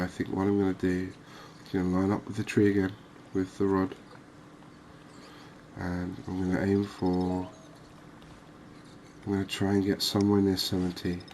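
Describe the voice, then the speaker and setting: Low, even-toned man in quiet game commentary. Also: slight background noise. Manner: unhurried, thinking aloud. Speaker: gaming video host.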